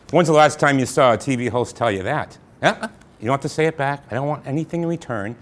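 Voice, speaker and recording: Jokey man hosting a radio playhouse. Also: medium pitch. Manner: jokey, self-mocking, talking straight to the audience. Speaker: host and narrator. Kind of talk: comic host monologue and stage directions.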